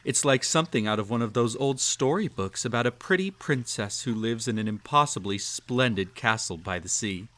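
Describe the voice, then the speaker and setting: A man's voice: mid-range voice, moderate pace, hardly any pauses, a bit bright, natural voice. A man playing a cruel, possessive emperor in a roleplay audio drama, speaking in a formal, pompous, teasing and condescending way. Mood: grandiose, proud. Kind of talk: scripted roleplay audio drama, intimate address to listener.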